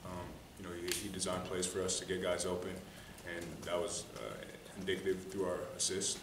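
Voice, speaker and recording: Young man, basketball player, very low monotone, echoey noisy room. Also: brisk. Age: young adult. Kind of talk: post-game interview answer. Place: indoor press room at a table. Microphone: shared table mic, some distance away. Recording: echoey room, distant mic, noisy background.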